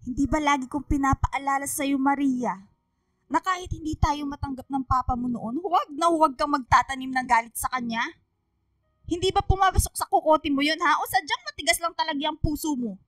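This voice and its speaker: A woman's voice: high-pitched, a little fast, fairly bright tone, dry recording, soft background music. An actress playing an emotional middle-aged mother, forgiving yet admonishing, in a radio drama, with a Filipino accent. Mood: scolding. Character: middle-aged mother, forgiving of husband, scolding her daughter.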